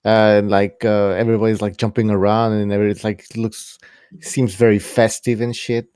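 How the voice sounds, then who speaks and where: A man's voice: on the low side, flat delivery, moderate pace, darkish tone, smooth, no room sound, clean studio sound. A man co-hosting a casual podcast, talking hesitantly with many "uh" and "like" fillers. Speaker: podcast co-host. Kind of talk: casual talk show chat.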